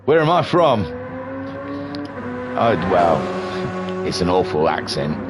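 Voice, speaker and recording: A man's voice: sits low, sing-song, takes it slowly, very noisy. A middle-aged man from England with a mixed northern and southern English accent, chatting loosely while commentating a live racing stream and reading chat aloud. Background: game music and engine sounds.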